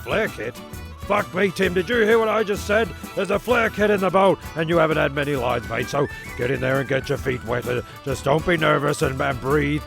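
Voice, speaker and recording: A man's voice: a little high, fairly quick, gravelly, a bit bright, soft background music. A man narrating a comedy audio serial as scripted storytelling, breaking off into rambling, sweary asides.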